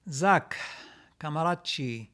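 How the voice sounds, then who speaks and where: A man hosting a live stream, reading out viewer comments and answering them in a halting way, with many restarts and repeated words. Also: on the high side, lively intonation, fairly bright tone. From Israel. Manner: reads out comments, then answers.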